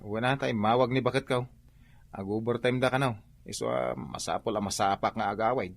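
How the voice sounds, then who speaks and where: A man's voice: medium pitch, steady tone, a little fast, gravelly, dry recording. A man with an Ilocano accent acting a traditional-minded, disapproving but resigned husband in a radio drama. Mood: resigned, subdued.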